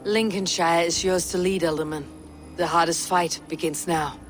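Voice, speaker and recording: An actress playing a steady Norse warrior who leads a settlement, speaking tersely and with authority. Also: female voice, on the low side, moderate pace, soft background music, natural voice. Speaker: Viking warrior settlement leader. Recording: long hall reverb, faint noise.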